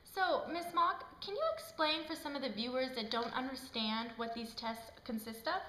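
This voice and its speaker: A woman's voice: high voice, normal speed, bright, forceful tone. A woman playing a cheerful, polite talk show host in an amateur scripted puppet skit. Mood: interested. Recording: echoey room, faint background noise.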